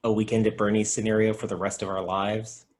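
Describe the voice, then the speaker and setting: A man playing an excitable, kindly and easily exasperated guy in a comedy table read, expressive in his delivery. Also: lowish voice, a little fast, close to an everyday voice. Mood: wry. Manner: expressive, animated.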